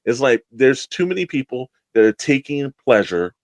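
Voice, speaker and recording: A man with a medium-pitched voice talks like a podcast co-host giving his opinions. His delivery is casual and rambling, with emphatic points along the way. The sound is dry and close to the microphone. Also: sing-song, normal speed, no echo.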